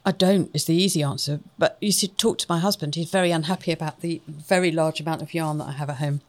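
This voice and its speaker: A woman from Wales co-hosts a relaxed craft chat. She talks in a chatty, rambling way with self-deprecating humour. Her voice sits a little lower than most women's. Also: fast talker. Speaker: co-host. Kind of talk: casual chat.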